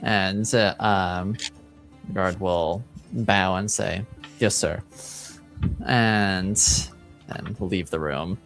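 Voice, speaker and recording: A man narrating a tabletop roleplaying game as game master, hesitant and halting as he improvises. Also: low-pitched, steady tone, vocal fry, fairly bright tone, faint music behind.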